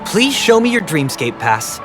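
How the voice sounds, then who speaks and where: A male ride attendant in a video game. He is courteous and formal, with a lively, varied intonation. Music plays clearly behind him. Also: fairly high pitch, measured pace. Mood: polite, businesslike. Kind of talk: video game character voice acting.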